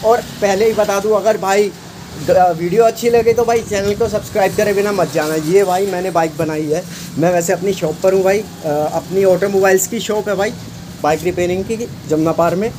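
A man's voice: sits high, normal speed. A man, a bike shop owner casually showing off his work on camera, boastful and chatty, calling everyone "bro". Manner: chatty, says "bhai" constantly, boastful. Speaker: bike mechanic and channel host. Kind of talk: casual walkaround video talk. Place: outdoor bike repair shop. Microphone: phone camera, speaker off screen. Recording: noisy street and traffic behind.

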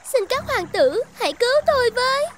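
A woman's voice: extremely high pitch, big pitch swings, a little fast, background noise. A woman voicing a small, plaintive, polite talking fish in a fairy-tale cartoon. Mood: desperate, pleading. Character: pleading, grateful little talking fish in trouble.

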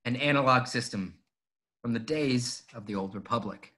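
A forceful, bright-voiced rebel commander, read by a man. He briefs his forces earnestly and briskly in a script read, sounding confident. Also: mid-range voice, close to an everyday voice. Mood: resolute. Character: confident male resistance pilot-commander giving a briefing. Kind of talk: fan table read of a film script.

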